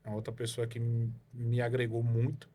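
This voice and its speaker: A man's voice: medium pitch, completely flat delivery, a little fast, dark tone. A man from Salvador, a podcast guest telling his career story in a rambling way, full of fillers and tag questions. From Salvador, Bahia, Brazil. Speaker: guest, car evaluation consultant. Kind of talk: casual podcast interview.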